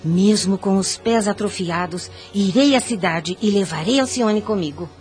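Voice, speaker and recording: A woman's voice: mid-range voice, normal speed, darkish tone, soft background music, natural voice. An actress playing an anguished, devout mother in a radio soap opera, speaking in a formal, pleading and emphatic way. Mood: resolved. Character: anguished, devout mother pleading to protect her young daughter.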